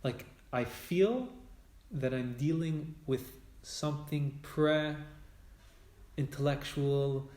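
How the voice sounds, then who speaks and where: Israeli man, native Hebrew speaker, slow and halting English, mid-pitched voice, echoey room; reflective spiritual teacher in conversation. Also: a little mellow. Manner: halting, searching for words, many restarts.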